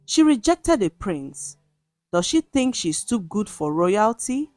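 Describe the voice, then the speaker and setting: A woman's voice: mid-range voice, very animated, slow, a little mellow, dry recording, soft background music, pitched above the performer's own voice. A woman voicing a scornful, self-righteous village gossip in a storybook tale.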